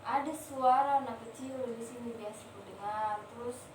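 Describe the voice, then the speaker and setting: A young woman speaks as a scared patient in a student role-play. Her answers come in short, halting pieces, and her voice sits slightly high. She is recorded from a distance in a reverberant room with faint background noise. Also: darkish tone. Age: 20s. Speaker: nursing student acting a patient.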